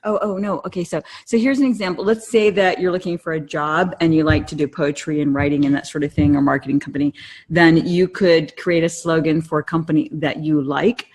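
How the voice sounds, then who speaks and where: A woman's voice: deep voice, steady tone, fairly quick, darkish tone. A middle-aged woman chatting casually as a podcast co-host in an encouraging, affirming manner. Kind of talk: casual conversational podcast chat.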